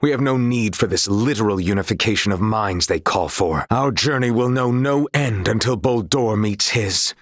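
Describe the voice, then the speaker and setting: A man acts out an earnest young knight for a video game, loyal and honorable. His voice sits on the low side with a husky grain. He speaks in courtly, old-fashioned phrases, recorded very dry. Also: moderate pace, hardly any pauses, no room sound, close to an everyday voice. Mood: grave, resolute.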